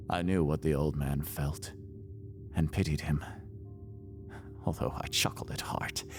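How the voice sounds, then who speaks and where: A man playing an unhinged, murderous gothic narrator in a dramatic horror story reading, theatrical and deliberate, with breathy whispers. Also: heavily acted. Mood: ominous, hushed. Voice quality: very deep, creaky, breathy whispers. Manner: theatrical, deliberate, repeating words with menace. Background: faint background music.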